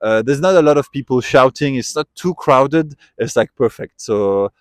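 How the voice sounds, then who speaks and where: A man's voice: mid-range voice, lively intonation, moderate pace, dry recording. Reflective man, dry close sound. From Switzerland. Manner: thoughtful, reflective, trailing off and restarting. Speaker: interviewee, tourist. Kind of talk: casual street interview. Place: outdoors at a memorial site.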